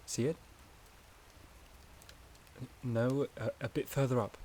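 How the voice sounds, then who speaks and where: A man playing a gentle, shy, caring forest companion in a soft-spoken ASMR roleplay, with a hesitant, slightly stammering delivery. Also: mid-range voice, steady tone, takes it slowly, slight background noise, close to an everyday voice. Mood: quietly enchanted.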